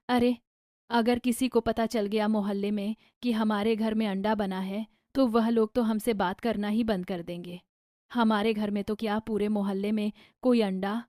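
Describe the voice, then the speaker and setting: A woman's voice: medium pitch, warm tone, no room sound, studio quality, close to an everyday voice. A woman voicing a pious middle-aged Indian mother-in-law who scolds in dramatic, scandalized exclamations for a moral-story cartoon. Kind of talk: animated moral-story dialogue.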